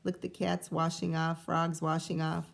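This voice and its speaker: A woman teacher reading a picture book aloud to children, animated and pausing to chat and ask questions. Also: deep voice, creaky, a little hiss or hum. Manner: animated, asks the listener questions. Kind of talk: expressive read-aloud with asides to children.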